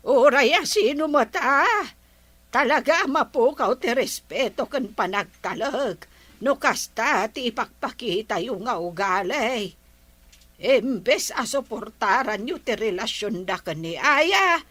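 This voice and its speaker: A slow, bright-voiced old grandmother, acted by a woman, scolding her family by turns and warm to her grandson. Her voice is crisp and sits a little high, in a dry, close recording. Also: expressive, no room sound, heavily acted. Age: older. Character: older village grandmother, sympathetic. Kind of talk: radio comedy drama acting.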